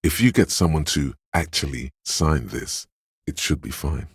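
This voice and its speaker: A man voicing a wry, erudite inner voice that narrates a detective's thoughts in a video game, deliberate and literary, with a gravelly husky tone. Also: extremely low pitch, no echo, clean studio sound, heavily acted. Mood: measured, wry. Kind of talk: scripted second-person narration for a role-playing video game.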